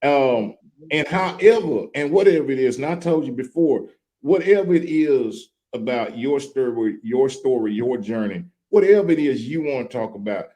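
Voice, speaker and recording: A man hosting a streamed chat show, who talks in a relaxed, folksy and joking way. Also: mid-range voice, normal speed, rough recording. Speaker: host. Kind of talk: casual chat. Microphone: video call.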